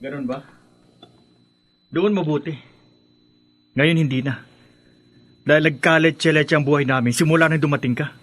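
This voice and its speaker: An actor playing a rude, resentful young stepson in a Filipino radio drama, with a Filipino accent. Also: male voice, on the high side, moderate pace, pauses often, a bit bright. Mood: resentful, bitter.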